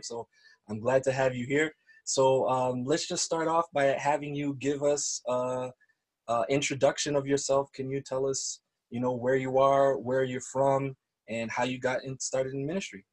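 Upbeat husky podcast host, a man. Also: mid-range voice. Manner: upbeat, enthusiastic, churchy phrasing. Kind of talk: podcast intro and interview.

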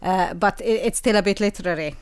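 A woman's voice: mid-range voice, a little fast, smooth, dry recording. A woman in her forties from Transylvania, a native Hungarian speaker, talking reflectively as an interview guest, hesitant and often repeating phrases. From Transylvania, Romania. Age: 40s.

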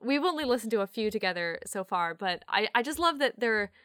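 A woman's voice: fairly high pitch, animated, fairly quick, a bit bright, no room sound. Chatty woman with a dry, close sound. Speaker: interview guest, audio drama creator. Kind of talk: casual interview chat.

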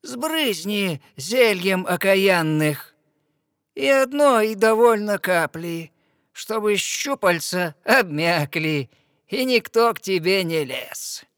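A man voicing a cunning, ruthless female sea witch in a verse fairy-tale audio play, coaxing and deliberate. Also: high-pitched, animated, a bit bright, smooth, no room sound, studio quality, voice pushed up, heavily acted. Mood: commanding. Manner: slow, drawn-out, deliberate coaxing delivery.

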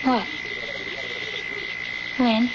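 An actress playing a pained, guarded young daughter who suffers from headaches, in an old radio drama, with a questioning manner. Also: female voice, drawn-out words. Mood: questioning, defensive. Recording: dull, heavily noisy old radio recording.

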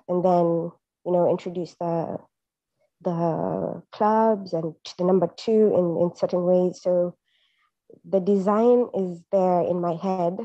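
A woman from Kenya, a photographer speaking earnestly on a group call, with frequent halting restarts. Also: on the low side, dark tone, no echo. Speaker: guest artist, photographer. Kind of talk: casual group call chat. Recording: dull lo-fi online call audio.

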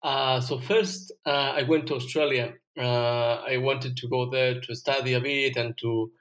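A man's voice: mid-range voice, fairly even intonation, measured pace, fairly bright tone, poor audio. A young man from Ecuador with an Ecuadorian Spanish accent, a native Spanish speaker, chatting casually as a podcast guest in hesitant, piecemeal phrases. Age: young adult. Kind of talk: casual chat.

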